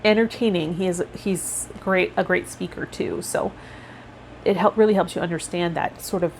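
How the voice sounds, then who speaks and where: Woman, somewhat low pitch, casual reflective vlog host, rambling with mid-thought pauses, faint noise behind. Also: vocal fry, rough recording. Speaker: host of a tarot vlog and healer. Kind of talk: casual reflective vlog talk to viewers, with guided meditation cues.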